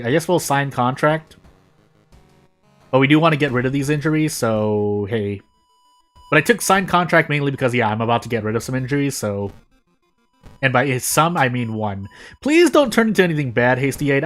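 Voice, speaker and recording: An American man streaming a game, thinking aloud in casual commentary with frequent restarts and sudden jumps in pitch. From United States. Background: faint game music.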